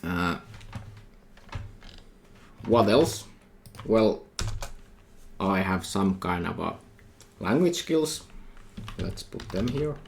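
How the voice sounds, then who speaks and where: A middle-aged man with a Finnish accent, a university lecturer talking through a coding tutorial while he types, thinking aloud in halting phrases. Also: sits low, lively intonation, creaky, darkish tone. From Finland. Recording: faint noise with keyboard typing.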